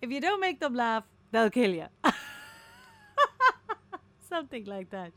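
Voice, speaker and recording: Breezy, patronising radio producer, swooping delivery. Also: female voice, fairly high pitch, sing-song, unhurried, no room sound. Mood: playfully menacing. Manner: sugary, condescending, theatrically chatty. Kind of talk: darkly comic audio drama acting.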